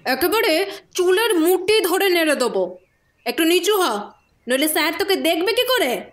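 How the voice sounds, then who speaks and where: Shrill, bossy matron. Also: female voice, sing-song, normal speed. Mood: angry scolding. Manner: bossy, exasperated, theatrical, fawning to superiors. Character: scolding, scheming older matron of a girls' shelter. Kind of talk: dramatised Bengali horror audio story.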